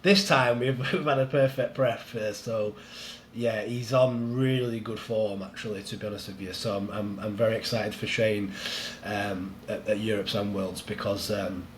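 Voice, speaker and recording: A man chatting casually as a podcast guest, a strongman coach with a head cold that makes him a little nasal, talking in a relaxed, rambling way. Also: lowish voice, moderate pace, hardly any pauses, slight background noise. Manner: relaxed, rambling, laughs easily.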